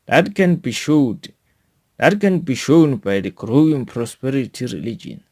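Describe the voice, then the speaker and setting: Male podcast host, South African, mid-pitched, unhurried and formal, reading a prepared educational talk with emphatic phrase openings. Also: big pitch swings, warm tone. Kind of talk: scripted educational monologue, read out.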